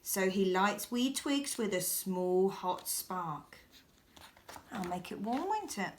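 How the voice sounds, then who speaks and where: A woman's voice: medium pitch, big pitch swings, slow pace, slight background noise. A woman reading a children's bedtime story aloud expressively, as if speaking directly to young listeners.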